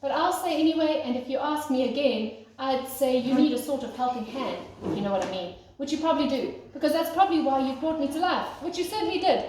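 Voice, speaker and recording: A woman's voice: high-pitched, quick pace, hardly any pauses, darkish tone, recorded from a distance, voice pushed up, close to an everyday voice. A woman voicing an eager, talkative male snowman who rambles helpfully in a children's storybook. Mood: chatty, eager. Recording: very echoey room, faint noise.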